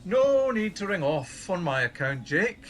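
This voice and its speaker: A man's voice: sits high, sing-song, a little slow, fairly bright tone, heavily acted. A middle-aged man playing a wry, inquisitive senior colleague at a helpline in a radio play, with a dry, probing manner. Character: wry, inquisitive older male colleague in charge.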